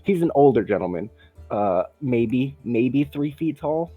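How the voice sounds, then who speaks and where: A man narrating a tabletop game as dungeon master, relaxed with laughing asides. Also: mid-range voice, measured pace, breathy edge, narrow, tinny audio, soft background music.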